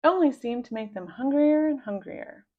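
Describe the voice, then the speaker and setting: Woman, higher-pitched voice, expressive children's book narration, slightly muffled recording. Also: normal speed. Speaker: storybook reader.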